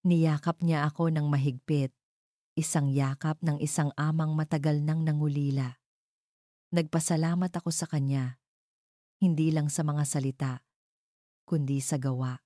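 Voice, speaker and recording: A woman reading a dramatic first-person story as a narrator, in a measured, deliberate way. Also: low-pitched, steady tone, dark tone, dry recording, clean studio sound.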